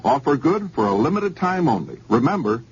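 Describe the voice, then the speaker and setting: Husky upbeat male ad announcer. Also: medium pitch, sing-song, brisk. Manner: upbeat salesman patter. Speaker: commercial announcer. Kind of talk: radio commercial read. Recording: dull old radio broadcast.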